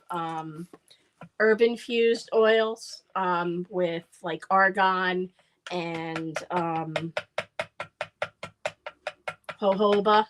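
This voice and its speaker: Woman, congested, rambling with lots of ums, casual live stream from a home workshop, mid-pitched. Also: noisy background. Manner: chatty and rambling with frequent ums. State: congested from a cold. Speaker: craft seller making herbal oil products. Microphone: streaming camera mic.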